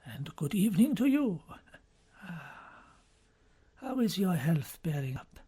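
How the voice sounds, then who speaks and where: A man plays a self-effacing older cardinal in an audiobook novel. He speaks formally and earnestly, with some hesitation, in a husky, breathy voice. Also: high-pitched, big pitch swings, takes it slowly, mellow, heavily acted. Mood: courteous. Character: older male cardinal dean, modest, courteous, earnest.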